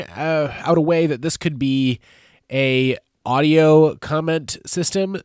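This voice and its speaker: A man's voice: medium pitch, no echo. Man, podcast host, stuffy nose from a cold, friendly and earnest, dry close sound. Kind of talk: casual podcast talk.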